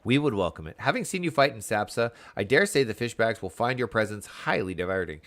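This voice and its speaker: A man's voice: fairly low pitch, sing-song, a little fast, fairly bright tone. Man reading as a solemn naval admiral. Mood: solemn, commanding. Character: stern, formal naval admiral, solemn commander.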